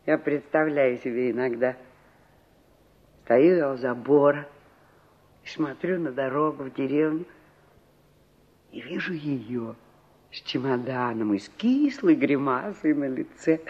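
An actress playing a middle-aged, complaining village aunt in a 1960s radio play, emphatic and full of rhetorical questions. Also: female voice, extremely low pitch, lively intonation, unhurried, long pauses, husky, full character voice. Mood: brooding. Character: exasperated village aunt fretting over her stubborn niece. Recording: dull vintage radio recording.

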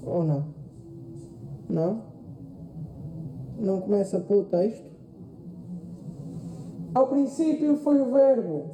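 A woman with a European Portuguese accent performs a theatrical radio-drama monologue, deliberate and reflective, with pauses and repeated phrases. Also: mid-range voice, very animated, warm tone, faint music behind. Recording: roomy echo with faint noise.

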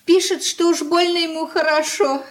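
An actress playing an elderly merchant's wife in a Soviet radio play, caring and fussing, with homely colloquial speech. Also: female voice, very high voice, steady tone, moderate pace. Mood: fussing concern.

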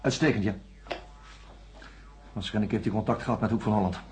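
A man's voice: on the low side, fairly quick, a little mellow, close to an everyday voice. A man playing a composed, curt senior doctor in charge in a radio drama. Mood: composed, sceptical. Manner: curt, authoritative.

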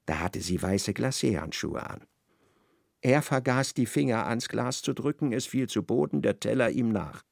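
A man narrating a literary radio drama in a measured, expressive way. His voice has some gravel and a lively melody. The sound is dry and close. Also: medium pitch, no echo.

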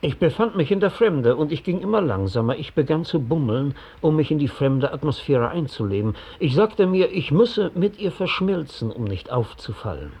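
An actor playing a reflective stranger who recounts his journey to police in a measured, thoughtful radio drama monologue. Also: fairly high pitch, sing-song, warm tone, husky, heavily acted.

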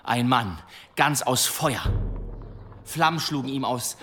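A man's voice: mid-range voice, takes it slowly, fairly bright tone, slight background noise. A man playing a boastful demon hunter in a radio play, telling tall tales in a florid, pompous manner. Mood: ominous, theatrical. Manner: theatrical, florid, pompous storytelling. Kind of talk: German radio play acting.

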